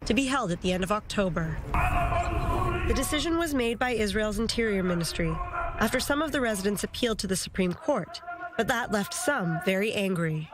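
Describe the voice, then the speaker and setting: A woman news reporter delivering a measured field report, speaking outdoors near a village border fence. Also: mid-range voice, lively intonation, noisy background.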